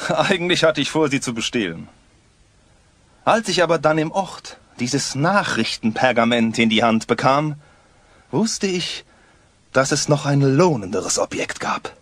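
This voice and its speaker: A boastful, menacing swindler in an audio drama. His voice sits fairly high and swoops widely, with smug, theatrical relish. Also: fairly bright tone, no room sound. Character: scheming, boastful male villain, a kidnapper and swindler. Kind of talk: German audio play acting.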